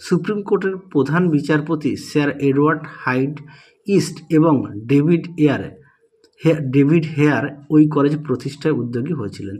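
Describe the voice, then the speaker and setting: A man's voice: a little high, darkish tone, breathy edge. A man teaching, dictating exam answers and often repeating key points. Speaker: teacher presenting exam solutions. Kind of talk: instructional walkthrough of questions and answers.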